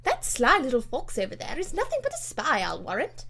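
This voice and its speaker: A woman voicing a bluff, good-hearted English noblewoman, loud, blunt and exclamatory, in an audiobook. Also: sits high, huge pitch swings, brisk, creaky, breathy edge, voice pushed up, exaggerated voice. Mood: vehement.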